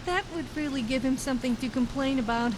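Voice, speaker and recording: High-voiced cheeky game companion played by an actress. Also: female voice, fast, background noise, lightly acted. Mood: wry, amused. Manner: joking, wry. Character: cheeky, sarcastic female follower in a fantasy game. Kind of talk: video game companion banter.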